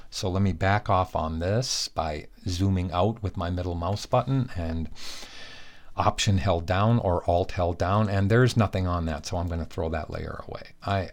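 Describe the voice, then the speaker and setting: A man giving a relaxed screen-recorded software tutorial, chatty and correcting his own slips as he goes. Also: fairly low pitch. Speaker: software instructor.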